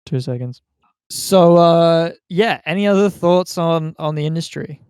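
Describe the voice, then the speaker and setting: Higher-pitched, smooth-voiced Australian man. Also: measured pace, a little mellow, no room sound. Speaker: podcast host. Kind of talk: casual group chat about films.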